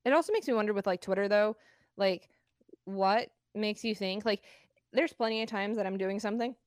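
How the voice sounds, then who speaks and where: Chatty woman with a smooth voice. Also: medium pitch, brisk, no room sound, clean studio sound. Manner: chatty, many fillers and restarts. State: asthmatic cough at times. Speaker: podcast co-host. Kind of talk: casual chat.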